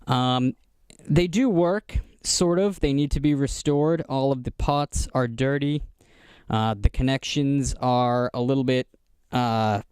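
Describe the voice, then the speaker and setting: An American man giving a casual, unscripted show-and-tell narration in a halting, explanatory manner. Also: mid-range voice, a little mellow, clear, resonant tone, no room sound. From United States. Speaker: vlogger showing vintage radio gear.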